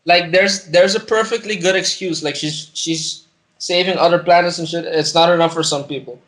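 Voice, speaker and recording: Male co-host, slightly high voice, excitable fan, informal slangy and profane film talk. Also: normal speed. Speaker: podcast co-host. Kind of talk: casual chat, film discussion.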